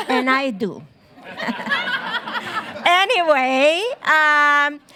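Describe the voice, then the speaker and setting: High-voiced woman emcee, very slow. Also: a bit bright, smooth. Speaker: event emcee. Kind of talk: live event hosting.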